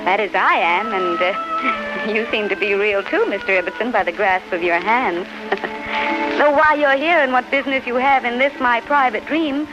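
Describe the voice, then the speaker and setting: An actress playing a gracious, assured duchess, gently instructing and poised, in 1930s radio drama style. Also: female voice, a little high, normal speed, natural voice. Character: gracious, assured duchess guiding a man through dreams. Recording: dull 1930s radio recording, loud music behind.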